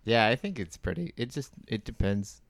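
A man's voice: mid-range voice, animated, normal speed, creaky, no echo, studio quality. A man co-hosting a casual podcast chat, thoughtful and hedging as he weighs his views. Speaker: podcast host.

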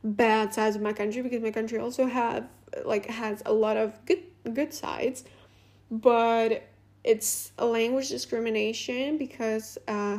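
A woman's voice: mid-range voice, creaky, a little mellow. A young woman from Latvia whose first language is Russian, talking casually and unscripted as a podcast host, rambling with many fillers and restarts.

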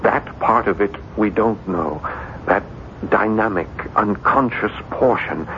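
Tormented, brooding writer in muffled old radio. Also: male voice, slow pace, fairly bright tone. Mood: haunted, fervent. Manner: brooding, theatrical, argumentative. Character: tormented male French writer, obsessed with an unseen evil. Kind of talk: 1970s radio drama acting. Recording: dull 1970s radio broadcast, faint rumbling noise.

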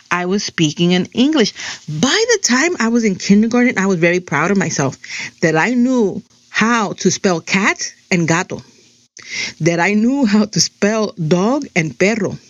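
A woman's voice: medium pitch, big pitch swings, a little slow, no echo. Middle-aged woman from New Jersey, first language Spanish, rambling vlog chat, mid-range voice, muffled dry recording. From New Jersey, USA. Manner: chatty and digressive, full of "um" and "you know". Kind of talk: casual personal monologue.

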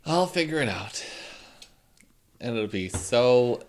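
A man co-hosting a casual podcast, with a dry, teasing sense of humor. His pitch moves up and down a lot. He talks in a relaxed, joking way. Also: takes it slowly. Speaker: podcast co-host.

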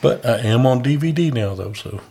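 A man's voice: mid-range voice, expressive, normal speed, gravelly, warm tone, slightly rough. A man who does stand-up comedy, hosting a casual podcast chat with dry jokes. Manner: joking, dry wisecracks.

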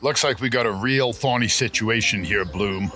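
A man playing a wry, hard-boiled police detective, deadpan in a comic radio mystery. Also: fairly low pitch, moderate pace, faint music behind. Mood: wry, grave.

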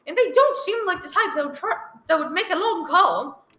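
A woman's voice: extremely high pitch, animated, moderate pace, sharp tone, exaggerated voice. A woman playing an anxious, imperious, bedridden wife in a radio thriller, stammering and easily exasperated. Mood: urgent. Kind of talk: old radio thriller acting. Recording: roomy echo, live one-take recording.

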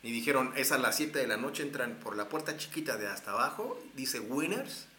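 A man's voice: mid-range voice, animated, moderate pace, sharp tone, roomy sound, slight background noise. A man with a Mexican accent, telling a long story casually with slang, fillers and restarts. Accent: Mexican Spanish. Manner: rambling, many fillers and restarts.

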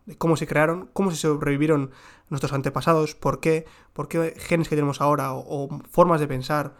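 A young man with a slightly high voice talks through his favourite books at home. He jokes and swears casually, and a little room echo clings to his voice. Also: fairly even intonation, a little fast. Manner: joking, slangy, swears casually. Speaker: book reviewer. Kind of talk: casual book recommendations. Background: construction work next door.

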